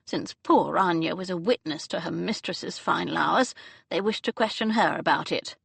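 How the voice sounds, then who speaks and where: A woman narrator voicing an elderly aristocratic dowager duchess who speaks in a lofty, brisk, upper-class manner. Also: fairly low pitch, gravelly, a bit bright, slightly rough, no echo, heavily acted. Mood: decisive.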